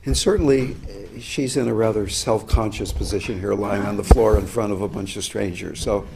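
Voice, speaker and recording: A man teaching a meditation workshop to a group, speaking slowly and reflectively in a low, relaxed voice. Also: creaky, background noise.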